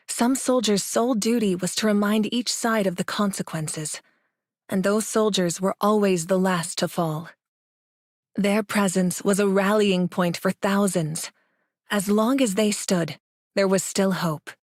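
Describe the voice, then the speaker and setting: A woman acting as an earnest female commander in an anime game. Her voice is medium in pitch and closely recorded. She sounds formal, measured and devoted to duty. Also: dry recording, clean studio sound, lightly acted. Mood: serious. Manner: formal, serious.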